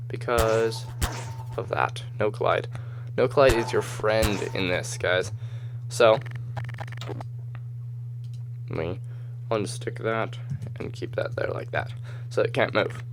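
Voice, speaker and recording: A man with a slightly hoarse, just-woken voice gives casual gameplay commentary, rambling and thinking aloud as he goes. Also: fairly low pitch, background noise. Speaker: gamer, host of a gameplay video series. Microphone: voice-over recorded over game footage.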